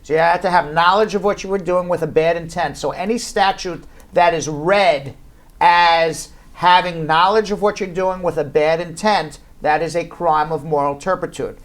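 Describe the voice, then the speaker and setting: A man's voice: on the high side, normal speed. A man hosting a live-stream legal Q&A from a law office, explaining points patiently with lots of "you know" and repetition. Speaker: immigration lawyer and host.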